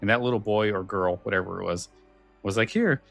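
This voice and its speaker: A man co-hosting a podcast, talking casually and haltingly, repeating phrases as he thinks. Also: lowish voice, gravelly, a bit bright, no echo, faint music behind. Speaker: podcast co-host.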